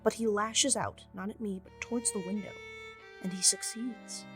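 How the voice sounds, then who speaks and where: A woman playing a wry first-person narrator of a Halloween story, telling it in a deadpan, scripted way. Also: medium pitch, moderate pace, over music, natural voice. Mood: wry, measured.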